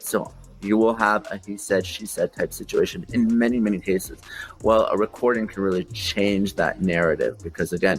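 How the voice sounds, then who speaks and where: A man giving measured, explanatory commentary as an expert in a news interview. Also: low voice.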